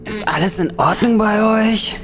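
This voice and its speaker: A woman playing an anxious, cautious young student in an audio adventure drama, hesitant and expressive. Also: medium pitch, unhurried, soft background music, close to an everyday voice. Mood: uneasy.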